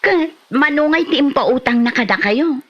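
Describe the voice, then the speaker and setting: A woman's voice: high voice, expressive, moderate pace, a bit bright, highs cut off. A woman acting a keen, inquisitive village neighbour in an Ilocano comedy drama, with a Filipino Ilocano accent. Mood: curious.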